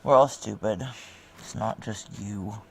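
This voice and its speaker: A woman's voice: rumbling low, a little slow, creaky, a little mellow, a little hiss or hum, exaggerated voice. A tough, guarded woman veteran in a noir audio drama. She is blunt and sardonic, swears freely and mocks herself, slipping between intimate dialogue and first-person narration. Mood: bitter, resigned.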